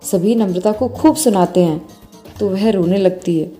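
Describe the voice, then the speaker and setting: A woman's voice: medium pitch, a little slow, warm tone. A woman storyteller reading a scripted bedtime moral story. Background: faint music.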